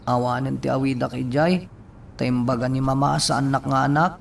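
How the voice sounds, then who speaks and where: Man playing a gravelly earnest young Ilocano man. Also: medium pitch, steady tone, normal speed, natural voice. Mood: troubled. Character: earnest young nephew burdened by an unwanted marriage. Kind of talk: Ilocano radio drama acting. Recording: distant mic, faint background noise.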